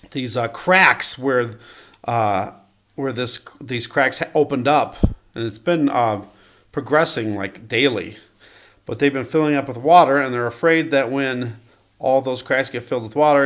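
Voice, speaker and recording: Hesitant, rambling man's voice. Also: medium pitch. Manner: hesitant, rambling, self-correcting. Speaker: vlogger. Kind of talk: casual unscripted map commentary.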